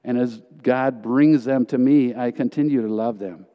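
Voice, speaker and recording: A man's voice: medium pitch, moderate pace, highs cut off. Earnest male preacher in a reverberant hall. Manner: earnest, emphatic storytelling. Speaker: missionary teacher. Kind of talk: sermon testimony.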